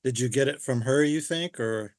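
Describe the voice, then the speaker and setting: A man's voice: medium pitch, moderate pace. A man hosting an interview, asking questions in a friendly, casual way and laughing easily. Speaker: interview host.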